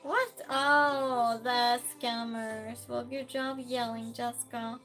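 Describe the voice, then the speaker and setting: A woman's voice: a little high, steady tone, takes it slowly, fairly bright tone, faint music behind. A woman with a Norwegian accent, a native Norwegian speaker, chatting casually on a stream and reading out viewer comments. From Norway. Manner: chatty, reads out chat messages and asks would-you-rather questions.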